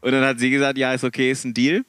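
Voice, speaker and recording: A man with a Ruhr German accent spins a long, meandering concert anecdote and restarts his sentences as he talks. His voice sits at an ordinary middle pitch. The recording is dry and close, with no room echo. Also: fast, smooth. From Ruhr area, Germany. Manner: rambling, self-correcting, animated anecdotes. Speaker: podcast participant. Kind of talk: casual storytelling chat.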